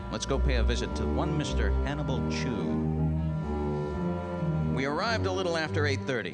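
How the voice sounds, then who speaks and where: A man's voice: mid-range voice, lightly acted. Man acting a hardboiled American noir detective over music. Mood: urgent. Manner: terse, slangy, hardboiled delivery. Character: hardboiled, world-weary male noir detective narrator. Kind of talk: 1940s radio noir acting, narration and dialogue. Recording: music bed under radio drama.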